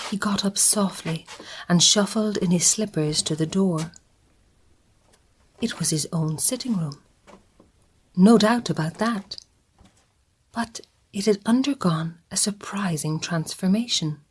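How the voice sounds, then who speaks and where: A woman narrating a radio play in a measured, husky, often half-whispered voice. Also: on the low side. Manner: measured, hushed.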